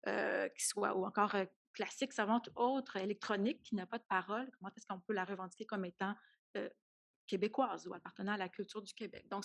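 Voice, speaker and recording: A woman's voice: mid-range voice, gravelly, no room sound. A woman talks as a panelist at an academic round table. She hesitates often and restarts her sentences. The sound is dry and close. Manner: hesitant, many fillers and restarts.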